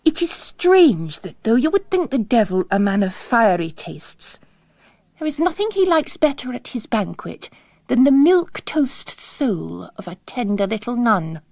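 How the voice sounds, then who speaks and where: A woman voicing a mysterious male priest, calm, formal and philosophical, with a quietly menacing way of preaching. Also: a little high, no room sound, clean studio sound. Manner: measured, formal, sermonizing.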